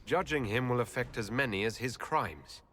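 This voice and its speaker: A man voicing a calm, earnest order leader. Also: medium pitch, normal speed, a little hiss or hum, lightly acted. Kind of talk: voicing his game character over gameplay.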